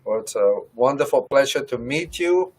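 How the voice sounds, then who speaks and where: A man's voice: medium pitch, lively intonation, normal speed, slightly rough. A man from Colombia hosting an online interview, welcoming people with short interjections. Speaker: host. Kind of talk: interview over a video call.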